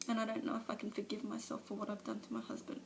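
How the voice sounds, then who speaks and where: A woman's voice: lowish voice, level tone, moderate pace, slight background noise, lightly acted. A woman performing a monologue as a remorseful recovering alcoholic mother, speaking confessionally and sometimes dropping to a whisper, recorded on a phone camera. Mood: anguished.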